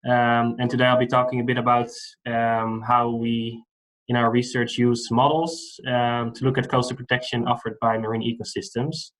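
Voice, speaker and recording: A man in his thirties from the Netherlands with a Dutch accent, giving a relaxed online lecture over a video call with many fillers. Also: mid-range voice, moderate pace, low-quality recording. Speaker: guest lecturer.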